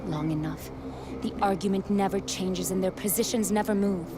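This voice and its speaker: A woman's voice: mid-range voice, steady tone, moderate pace, noisy background, close to an everyday voice. A woman playing an earnest, frank and respectful young rebel fighter in a game cutscene. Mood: grave.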